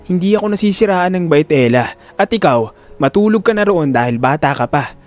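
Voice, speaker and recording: Man as earnest teenage schoolboy for audio drama: high voice, swinging intonation, excitable, over light music. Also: brisk, close to an everyday voice. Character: earnest, excitable schoolboy son with adult mind.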